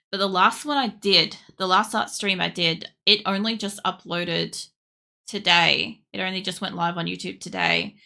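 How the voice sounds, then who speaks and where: A tired young woman streamer chatting casually while she draws, with a croaky voice and a rambling way of talking full of fillers. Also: fairly low pitch, bright, forceful tone. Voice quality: croaky, gravelly. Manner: rambling, with many fillers and restarts. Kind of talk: casual chat during a drawing stream.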